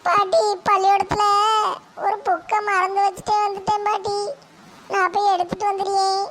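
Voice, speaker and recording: Actor doing a cheeky, whiny schoolboy. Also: male voice, extremely high pitch, little pitch movement, moderate pace, fairly bright tone, a little hiss or hum. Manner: whiny excuses, muttered asides. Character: cheeky schoolboy grandson, evasive and mischievous.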